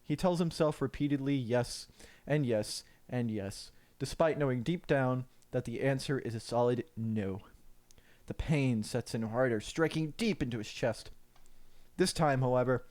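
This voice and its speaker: A man's voice: mid-range voice, darkish tone. A male narrator reads a scripted tale in a mid-range voice. His delivery is theatrical and mock-solemn, as in an amateur dramatic reading.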